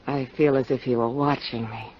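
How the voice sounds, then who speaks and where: An actress playing a discontented, scheming wife who pleads seductively, in the style of 1950s radio drama. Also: female voice, extremely low pitch, steady tone, moderate pace, a little hiss or hum. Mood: soft, pleading. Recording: dull, lo-fi 1950 radio broadcast.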